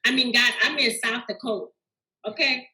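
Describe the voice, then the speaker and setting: A woman's voice: a little high, normal speed, sharp tone. A woman psychologist talking frankly and teasingly as a guest on a panel. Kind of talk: casual panel chat. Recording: echoey room.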